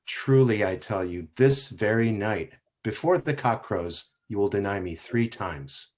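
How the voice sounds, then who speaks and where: A man reading the part of Jesus in a church passion play, solemn and measured. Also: lowish voice, animated. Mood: grave. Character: Jesus, grave calm male teacher. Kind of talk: dramatic scripture reading. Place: church sanctuary.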